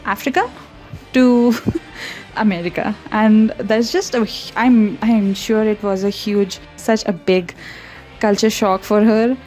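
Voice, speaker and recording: Young woman from India, non-native English, chatty and giggly, mellow warm tone, soft music underneath. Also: fairly high pitch. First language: not English. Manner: rambling, chatty, frequent giggles and self-corrections. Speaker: art channel host. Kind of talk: casual voiceover over a speedpaint.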